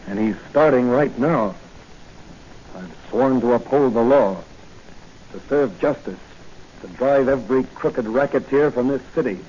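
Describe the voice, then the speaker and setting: An actor playing an earnest, determined young police hero in an emphatic 1940s radio drama style. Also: male voice, medium pitch, a little slow, long pauses, warm tone, lightly acted. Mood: righteous resolve. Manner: emphatic, declamatory, resolute. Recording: muffled, noisy 1940 radio recording.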